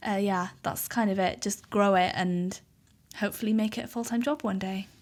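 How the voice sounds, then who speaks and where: Welsh woman, 20s, native Welsh speaker; relaxed, talkative podcast guest. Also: mid-range voice, moderate pace. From Cardiff, Wales. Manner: chatty, frequent ums. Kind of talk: casual interview chat.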